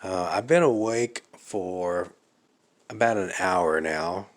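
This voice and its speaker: A man thinking aloud in a casual vlog, speaking slowly with many pauses and fillers, his voice slightly raspy from fasting. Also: deep voice. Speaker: vlogger. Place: living room of an apartment at night.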